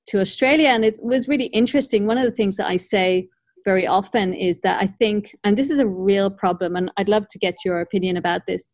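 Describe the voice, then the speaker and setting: A woman who grew up in Ireland, hosting an academic conversation in a thoughtful, measured way with frequent hedges. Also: medium pitch, a little mellow, no room sound, studio quality. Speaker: podcast host.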